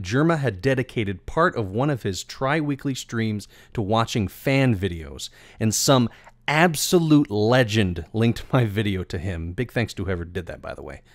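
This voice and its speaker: Lowish voiced guy telling a scripted story, kinda theatrical and wordy, super dry studio sound. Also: dry recording. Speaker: video creator and voice actor.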